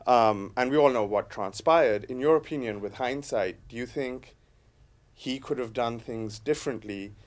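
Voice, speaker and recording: A man speaking up from the audience at a talk. He lays out his question in a measured, step-by-step way, adding qualifications as he goes. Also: medium pitch. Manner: measured, carefully built question. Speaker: audience member asking a question.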